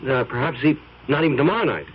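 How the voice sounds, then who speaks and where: An evasive, halting husband, lively pitch. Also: male voice, slightly rough. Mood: evasive, nervous. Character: evasive, anxious husband hiding a lover. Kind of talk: 1970s radio drama acting. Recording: dull old radio drama recording, faint hiss.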